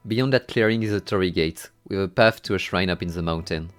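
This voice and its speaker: A man with a lowish voice narrates a horror video game as a scripted story. He tells it dramatically and slips into wry, exasperated commentary. Faint music plays behind him. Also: a little fast.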